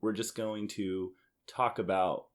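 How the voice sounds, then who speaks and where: A man with a fairly low voice hosts a casual board game podcast. He talks conversationally, like someone among friends, with dry teasing and joking in his delivery. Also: flat delivery, slow pace. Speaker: podcast host. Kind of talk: casual chat about board games.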